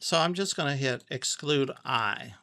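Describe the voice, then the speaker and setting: A man giving a casual, unscripted tutorial, thinking aloud haltingly and repeating phrases as he goes. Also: mid-range voice, bright, forceful tone, no room sound, studio quality. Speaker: amateur radio tutorial host. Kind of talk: casual unscripted screen walkthrough.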